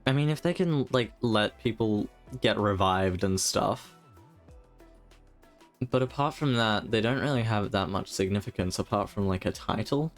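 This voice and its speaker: A man giving casual live stream commentary on a game, halting as he thinks out loud. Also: on the low side. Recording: faint game music behind.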